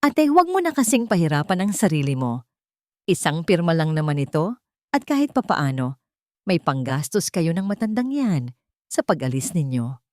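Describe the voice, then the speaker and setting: A woman's voice: on the low side, sing-song, moderate pace, a little mellow, no room sound, clean studio sound. A woman voicing a greedy younger brother with a condescending, unrepentant manner in an audio story. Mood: coldly dismissive. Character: greedy, callous younger brother, coldly dismissive.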